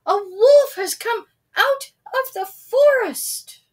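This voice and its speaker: A woman voicing an excitable shepherd boy in a storybook, shouting urgently for help. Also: extremely high pitch, slow, voice pushed up. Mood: frantic, urgent.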